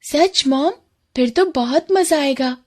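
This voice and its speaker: A woman voicing a spoiled, excitable rich little girl, childlike and self-assured, in a children's cartoon. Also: high-pitched, takes it slowly, voice pushed up. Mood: excited.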